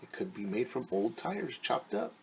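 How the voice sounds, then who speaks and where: A man's voice: mid-range voice, big pitch swings, normal speed, vocal fry, noisy background. A middle-aged man reading a picture book aloud as a teacher, stopping to explain it simply and repeating words for young children. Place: small room at home, indoors.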